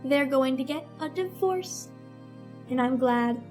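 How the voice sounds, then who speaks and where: A woman's voice: high-pitched, takes it slowly, darkish tone, soft background music. A woman playing a resentful sixteen-year-old girl who acts the hurt innocent, in emotional old-time radio melodrama style. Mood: bitterly gloating. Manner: emotional, theatrical.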